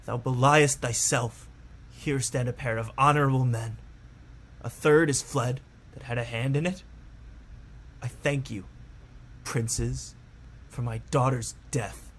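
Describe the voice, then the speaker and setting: A man's voice: mid-range voice, fairly even intonation, slow, pauses often, fairly bright tone, husky. A man playing a grieving, indignant old nobleman and father in a Shakespeare radio play, speaking with bitter, wounded deliberation. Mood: bitter, accusing.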